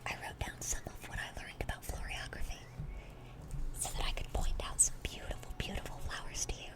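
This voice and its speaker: A woman's voice: brisk, natural voice. Woman playing a whispering dorky sweet demon girl. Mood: earnest, enthusiastic. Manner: gentle, rambling, earnest, affectionate. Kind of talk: whispered ASMR roleplay.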